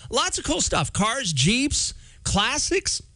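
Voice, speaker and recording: A man's voice: very high-pitched, huge pitch swings, slow pace, pushed and bright, no room sound. A man working as a radio DJ, chatting casually and a bit rambling while losing his voice. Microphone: studio mic.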